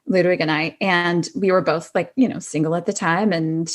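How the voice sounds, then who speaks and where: A woman's voice: on the low side, fairly even intonation, moderate pace. A middle-aged woman chatting casually as a podcast guest, telling personal stories with plenty of "like" and "you know". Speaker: podcast guest, actress and singer-songwriter.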